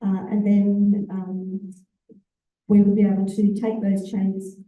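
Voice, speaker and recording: A woman, an executive officer, giving a relaxed conference presentation with fillers and self-corrections. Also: medium pitch, slow. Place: large conference hall. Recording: echoey hall, voice far from the mic.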